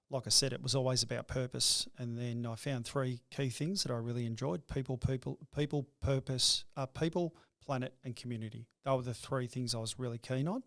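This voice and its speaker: Halting middle-aged man. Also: medium pitch, no echo, studio quality. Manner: halting, repeats words when starting sentences. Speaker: guest, business owner. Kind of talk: reflective interview talk.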